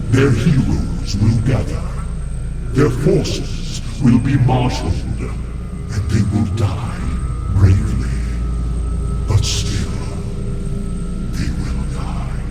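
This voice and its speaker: A man playing an ancient, cruel dark god in a video game, proclaiming doom in a grandiose, ominous manner. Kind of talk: video game villain acting. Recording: booming echo under loud music.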